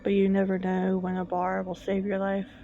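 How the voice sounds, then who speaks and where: A woman narrating a scripted horror story in a slow, hushed, suspenseful manner with long deliberate pauses. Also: mid-range voice, completely flat delivery. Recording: dull lo-fi, music bed behind. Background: background music.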